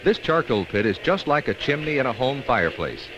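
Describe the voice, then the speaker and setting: An American man reads scripted training film narration in an instructional way with measured emphasis, on an old 1960s film soundtrack. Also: mid-range voice, big pitch swings, muffled recording, noisy background.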